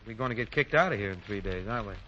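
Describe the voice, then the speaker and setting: A man's voice: on the low side, expressive, racing, sharp tone. An actor playing a breezy, evasive young man in casual, placating 1940s radio style. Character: breezy, evasive, happy-go-lucky grown son, horse gambler. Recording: muffled old 1940s radio recording.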